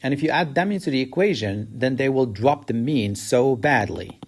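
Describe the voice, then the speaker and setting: A man's voice: lively intonation, normal speed, breathy edge. A man teaching a statistics lesson, explaining step by step and lifting his voice to stress key points. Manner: explanatory, rises in pitch to stress key words. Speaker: math teacher. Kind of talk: recorded statistics lecture.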